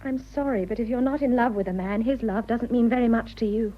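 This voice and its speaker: A woman's voice: on the high side, fairly quick, muffled recording, close to an everyday voice. An actress playing a frank, resolute upper-class wife in her twenties in a period radio drama, with a British RP accent and an earnest, composed delivery. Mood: firm. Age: 20s.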